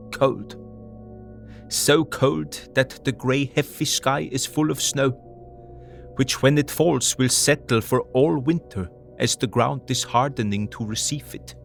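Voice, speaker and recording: An elderly foreign professor and vampire hunter in an audio drama. He is grave and weary, with a creaky, gravelly edge. His broken, old-fashioned English comes slowly and earnestly over faint music. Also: mid-range voice. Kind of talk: audio drama diary narration.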